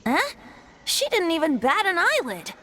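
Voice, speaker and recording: A woman's voice: very high-pitched, huge pitch swings, a little slow, noisy background, exaggerated voice. A woman playing a playful, theatrical young male bard who is a god in disguise, in a fantasy video game. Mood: wry. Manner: playful, grandiose, cajoling. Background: background music.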